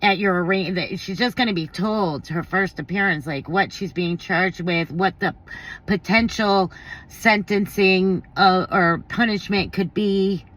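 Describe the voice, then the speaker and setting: A woman's voice: medium pitch, unhurried, fairly bright tone, poor audio. A woman giving casual opinion commentary, thinking aloud in a blunt, informal, slangy way. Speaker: commentary vlogger.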